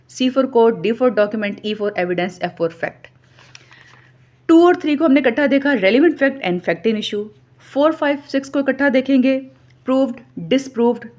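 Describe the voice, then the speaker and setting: Female lecturer, Pakistani accent, slightly high voice, methodical exam-coaching delivery with English terms mixed in. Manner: methodical, repeats key points for memorising; mixes English terms and read-out clauses into explanation. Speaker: law lecturer. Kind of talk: instructional lecture for exam students.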